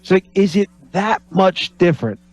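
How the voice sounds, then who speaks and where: A man in his forties co-hosting a sports radio talk show, talking in an animated way and restarting his sentences as he thinks aloud. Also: high voice, moderate pace, dry recording. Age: 40s. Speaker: radio co-host, college basketball analyst. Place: radio studio.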